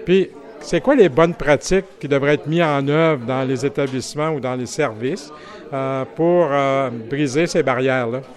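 A man's voice: medium pitch, normal speed. An older man with a Canadian French accent speaking reflectively and hesitantly, with many fillers.

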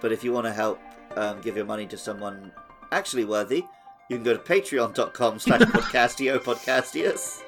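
A man's voice: medium pitch, moderate pace, soft background music. A man co-hosting a podcast, chatting casually about a video game. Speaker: podcast co-host.

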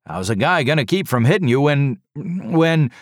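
A man voicing a large, disheveled driver who talks loudly in a rush of words, insisting the crash was not his fault. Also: mid-range voice, big pitch swings, normal speed, no echo, clean studio sound, voice pushed up. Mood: relieved.

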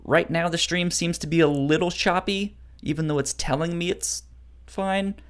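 A man hosting a casual discussion, speaking haltingly and often repeating sounds and words as he starts. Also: fairly high pitch, fairly even intonation, clear, resonant tone. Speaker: host of a manga discussion show. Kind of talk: casual chat.

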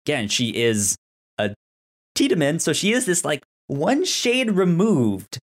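A man co-hosting a casual podcast discussion, explaining things haltingly and stuttering on words with repeated syllables. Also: fairly high pitch, huge pitch swings. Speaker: podcast host.

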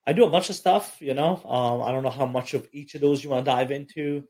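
A man from Egypt, an army chaplain and counselor, talking casually in an interview with frequent fillers and a relaxed, self-deprecating manner. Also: mid-range voice, fast.

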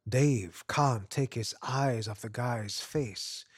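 A man reading literary fiction aloud as an expressive narrator. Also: medium pitch, takes it slowly, husky, no echo, clean studio sound. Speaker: story narrator.

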